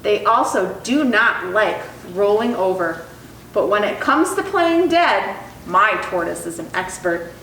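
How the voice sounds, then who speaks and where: A woman reading a children's picture book aloud in an expressive, animated storytelling style. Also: medium pitch, a little slow. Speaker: storytime reader. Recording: roomy echo, distant mic, faint noise.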